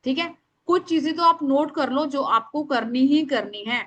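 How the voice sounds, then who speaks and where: A woman with a loud, strong voice, teaching an informal live online class in an instructive, emphatic way, mixing in English words. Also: sits high, normal speed, lo-fi sound. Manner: instructive, emphatic, addresses listeners by name; mixes English words into speech. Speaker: astrology remedies teacher.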